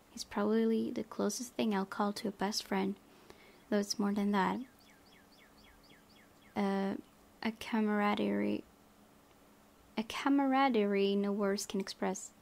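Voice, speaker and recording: A woman's voice: medium pitch, vocal fry, a little mellow. A woman hosting a gaming video, reading visual novel text aloud with casual asides.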